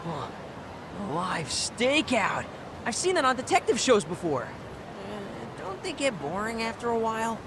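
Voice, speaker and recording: A man's voice: sits high, big pitch swings, measured pace, creaky, background noise, heavily acted. A male actor playing a cocky, laid-back teenage boy with an American accent in a video game dub, nonchalant and cheeky. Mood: doubtful.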